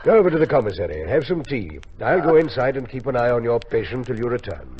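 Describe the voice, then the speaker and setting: An actor playing a kindly, stammering, flustered army colonel in a 1950s radio drama. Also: male voice, medium pitch, sing-song, fast, lightly acted. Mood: soothing. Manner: stammering, hesitant, avuncular. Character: gruff but kindly, flustered British army colonel in India. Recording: dull, faintly noisy 1950s radio recording.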